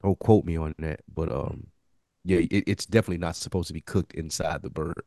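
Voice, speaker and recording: A man's voice: deep voice, steady tone, a little fast, warm tone, dry recording, clean studio sound. A man co-hosting a podcast, explaining things in casual, slangy conversation. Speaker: podcast co-host reviewing a TV series. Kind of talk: casual chat.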